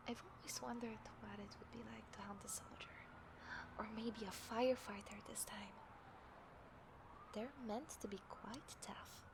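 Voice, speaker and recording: A woman playing a taunting, smug serial killer in an audio roleplay, speaking deliberately and theatrically with breathy drops toward a whisper. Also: mid-range voice, natural voice. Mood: musing, predatory. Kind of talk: scripted audio roleplay addressed to the listener. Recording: clear background noise, city ambience effects.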